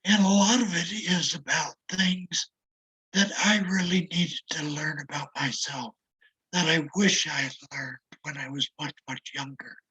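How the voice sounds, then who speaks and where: A retired older man talks in a raspy, strained voice that often drops to a whisper. He speaks slowly and reflectively, with a self-deprecating manner, and the tone sits bright and tight despite the damage. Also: fairly high pitch, steady tone, low-quality recording. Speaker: interview guest. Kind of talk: casual conversation.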